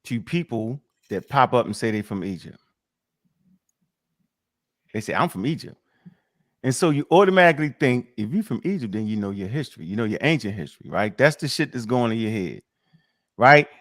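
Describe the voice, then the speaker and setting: A man's voice: medium pitch, big pitch swings, brisk, pauses often, fairly bright tone. A man hosting a casual talk show. He rants hard, swears a lot and trips over many restarts as he goes. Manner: fiery, profane, rambling with many restarts. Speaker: show host.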